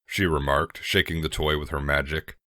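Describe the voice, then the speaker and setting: A man's voice: very deep voice, fairly even intonation, normal speed, sharp tone, no echo, studio quality. A man narrating a scripted fan fiction story as a dramatic reading.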